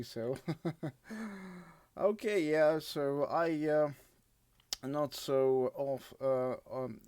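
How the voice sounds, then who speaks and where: A man with a Russian accent chatting as an amateur radio operator, with hesitant, halting phrasing. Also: mid-range voice, mellow, clean tone, no room sound. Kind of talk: casual radio contact chat.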